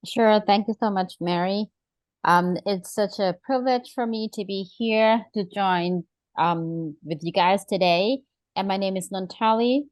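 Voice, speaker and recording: A woman's voice: medium pitch, unhurried, no echo. A middle-aged woman from Thailand, a panelist speaking politely about herself. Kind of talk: polite spoken self-introduction.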